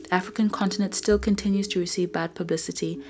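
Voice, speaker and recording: A woman with a Zambian accent reads a scripted documentary narration in a measured, earnest way. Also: lowish voice, fairly even intonation. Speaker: film narrator. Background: background music.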